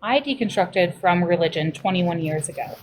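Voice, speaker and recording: A woman with a fairly low voice talks casually as a guest on a live stream. She is opinionated and insistent. Clear background noise sits behind her voice. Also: expressive, moderate pace. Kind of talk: casual chat.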